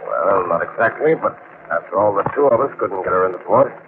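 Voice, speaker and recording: An actor playing a polite, anxious but loyal ship's officer in old-time radio drama style. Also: male voice, deep voice, animated, fairly quick. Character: deferential, uneasy male ship's officer, loyal second-in-command. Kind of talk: 1940s radio acting. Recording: scratchy, tinny old-time radio recording.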